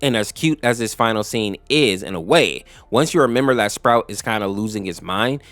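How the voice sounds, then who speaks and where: A man's voice: fairly low pitch, lively intonation, normal speed, fairly bright tone, no echo. A man narrating a story recap in a conversational, explanatory way, speaking directly to viewers. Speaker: YouTube lore explainer host.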